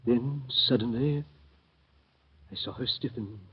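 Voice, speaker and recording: A man's voice: mid-range voice, slow, husky. An actor playing a nervous young male visitor who also narrates, in 1930s radio acting style. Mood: tense narration. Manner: stammering, flustered. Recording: muffled old radio recording.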